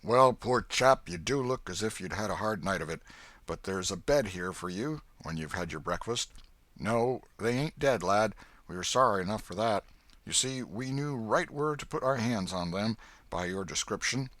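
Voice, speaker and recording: A man's voice: on the low side, animated, moderate pace, fairly bright tone, dry recording. A man voicing a kindly, hearty old man in an audiobook, with a folksy, emphatic delivery. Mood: welcoming. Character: hearty, kindly old Welshman, hospitable father of grown sons. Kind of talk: audiobook character voice.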